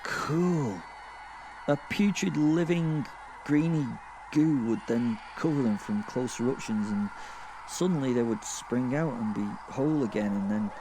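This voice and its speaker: A man playing a gleefully morbid werewolf killer in a horror audio drama, telling of his fall through hell in a halting, sweary, conversational way. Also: mid-range voice, background noise, lightly acted. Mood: gleeful fascination. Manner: rambling, conversational, sweary, halting and self-correcting. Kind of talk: first-person horror audio drama monologue.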